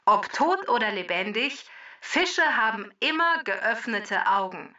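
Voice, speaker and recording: A woman moderating a children's quiz with scripted, deliberate announcements, heard in a distant, dull recording. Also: a little high, big pitch swings, pushed and bright. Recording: distant, dull band-limited sound.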